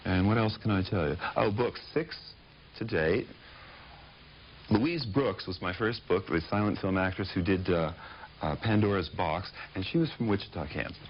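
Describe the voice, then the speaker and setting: Author guest, male, very low voice, halting and thoughtful delivery, muffled broadcast sound with light hiss. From Pittsburgh, United States. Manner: thoughtful, hesitant with many fillers. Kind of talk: casual interview answers.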